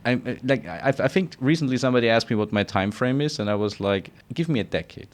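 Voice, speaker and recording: A man's voice: mid-range voice, lively intonation, fast talker. A middle-aged man speaking casually and self-deprecatingly as a research group leader answering interview questions.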